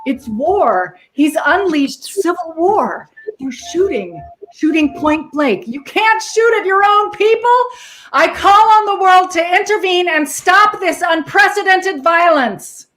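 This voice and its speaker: A woman's voice: very high-pitched, expressive, takes it slowly, fairly bright tone, slight background noise, full character voice, low-quality recording. A woman playing an urgent pleading leader. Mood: alarmed. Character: alarmed female political leader.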